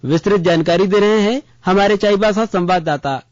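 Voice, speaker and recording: A man's voice: high voice, moderate pace, dry recording. A man reading a scripted regional news bulletin as a radio newsreader. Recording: dull, lo-fi radio broadcast.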